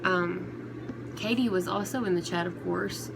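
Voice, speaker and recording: A woman giving casual, unscripted commentary on online drama, speaking in halting phrases that trail off and restart. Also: fairly low pitch. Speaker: commentary vlogger. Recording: clearly noisy recording, background ambience.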